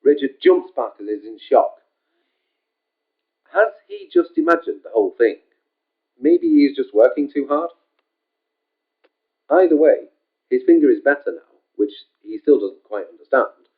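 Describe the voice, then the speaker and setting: A man's voice: medium pitch, sing-song, unhurried, pauses often, narrow, tinny audio. Man reading out his own story, laid back, lots of "just", voice goes up and down, sounds like a phone. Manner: casual, homespun narration with repeated "just".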